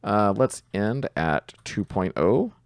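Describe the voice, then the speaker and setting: A man's voice: on the low side, sing-song, slow, clean tone, no room sound, studio quality. A man giving a casual coding tutorial, explaining things step by step in an informal way. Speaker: programming tutor.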